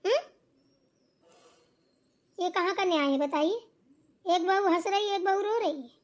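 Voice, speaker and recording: Woman doing a super high voiced young cartoon bahu, snappy n sarcastic, always arguing back. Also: a little slow. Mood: aggrieved. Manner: sarcastic, argumentative, complaining. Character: defiant, quick-tempered young daughter-in-law in a quarrelling household. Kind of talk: amateur Hindi family cartoon dialogue.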